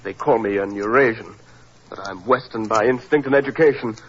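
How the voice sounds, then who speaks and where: A man's voice: fairly low pitch, brisk, fairly bright tone, breathy edge. Indignant young man, muffled recording. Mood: defensive, sullen. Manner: emphatic, defensive. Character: indignant, defensive young Eurasian nephew, proud and suspected. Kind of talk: 1940s radio acting. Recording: muffled, noisy 1940s radio recording.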